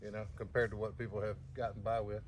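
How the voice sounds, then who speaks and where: A man's voice: lowish voice, little pitch movement, quick pace, slight background noise. An older man talking casually outdoors in a wooded yard, plainspoken and folksy as he shows his tools. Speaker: outdoors skills guest.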